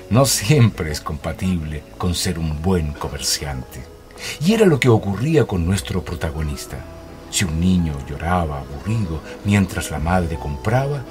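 An actor narrating a radio drama as a storyteller. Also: male voice, deep voice, a little mellow. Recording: music behind the voice.

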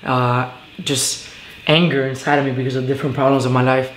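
A young man from Venezuela speaks earnestly, stopping often to gather his thoughts. His voice sits at an ordinary pitch and bounces around a bare living room. A faint hiss sits under the recording. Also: flat delivery, a bit bright, roomy sound, rough recording. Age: young adult. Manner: earnest, halting with many fillers. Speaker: guest. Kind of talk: casual testimony interview.

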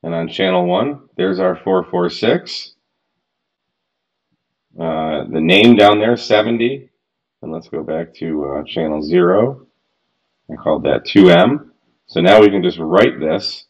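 Man, 30s, very low voice, casual hobbyist tutorial host, frank and chatty, slightly muffled desk-mic sound. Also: animated, a little slow, creaky, pauses often. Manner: chatty, frank, mildly exasperated asides. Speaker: radio gear reviewer. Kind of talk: casual screen-recorded tutorial. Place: home workbench room.

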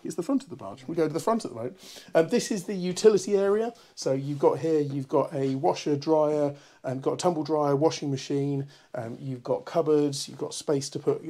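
A man, a bit hoarse from a cold, chatting through a walkthrough tour of a barge. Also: fairly high pitch, dark tone. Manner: chatty and upbeat, many ums. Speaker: boat broker. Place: inside a barge, small cabin rooms.

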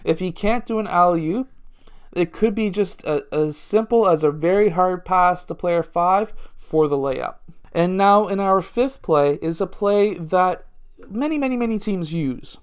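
A man's voice: high voice, expressive, measured pace, clean tone. A man, a basketball coach talking through plays step by step in a casual instructional way. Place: small indoor room at home.

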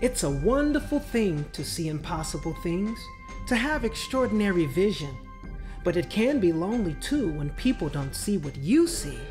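A man narrates a children's storybook with deliberate, dramatic phrasing. His high, animated voice rises and falls widely over a light musical backing. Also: soft background music. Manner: expressive, deliberate phrasing with drawn-out words. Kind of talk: dramatic children's read-aloud.

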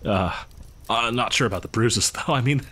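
A man plays a friendly young local guy in a horror audio roleplay. He has a medium-pitched voice and talks in a hesitant, rambling, awkward way, as if passing on grim town rumors in casual chat. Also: moderate pace, sharp tone. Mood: casual, gossipy. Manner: hesitant, rambling, nervous chuckles.

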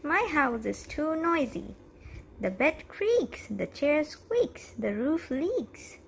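A woman's voice: high voice, big pitch swings, slow pace, vocal fry, slight background noise, voice pushed up, full character voice. Woman reading as an exasperated, complaining storybook man. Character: exasperated man fed up with his noisy house.

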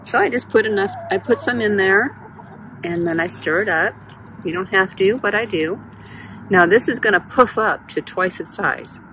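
A woman's voice: normal speed, background noise. A woman vlogging with a handheld phone in her backyard chicken run, chatting casually and talking to her hens. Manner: chatty, talks to her hens in a high playful voice. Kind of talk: casual first-person vlog narration. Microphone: handheld phone camera, speaker off screen.

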